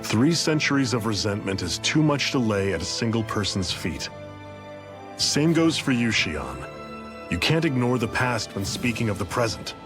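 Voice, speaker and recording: A man playing a stern, principled resistance mentor in a video game cutscene, speaking firmly and gravely. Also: lowish voice, big pitch swings, over music. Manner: firm, measured, admonishing.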